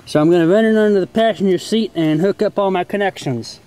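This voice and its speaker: A man's voice: high voice, quick pace, mellow, a little hiss or hum, rough recording. A man giving a casual hands-on tutorial in a folksy, plain-spoken way, as host of a homemade how-to video. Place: outdoors in and around a car.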